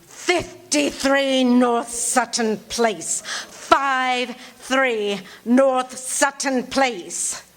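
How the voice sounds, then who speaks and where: A woman's voice: high voice, very slow, fairly bright tone, full character voice. An actress playing a fretful, imperious bedridden wife in a live radio-theatre show, indignant and fussy, stumbling over her words. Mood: anxious, insistent. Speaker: lead actor in a radio play. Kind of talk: live radio-theatre acting before an audience.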